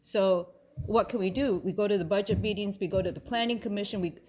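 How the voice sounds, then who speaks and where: Woman at a town meeting, chatty and thoughtful, mid pitch, asks a lot of questions. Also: steady tone, fast, warm tone, slight background noise. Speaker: local resident asking questions. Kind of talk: casual discussion at a town meeting. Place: community meeting hall.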